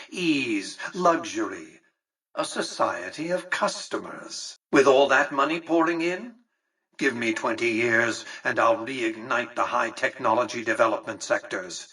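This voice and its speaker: An imperious elderly tycoon from a video game. His voice is crisp-edged and bright with some huskiness, pompous and exact in its phrasing. He sounds calculating and superior, recorded a little way from the microphone. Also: mid-range voice, distant mic, exaggerated voice. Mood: grandly visionary. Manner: formal, pompous, precise, patronizing. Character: imperious, calculating elderly male tycoon, coolly visionary mastermind. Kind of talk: scripted video game character dialogue.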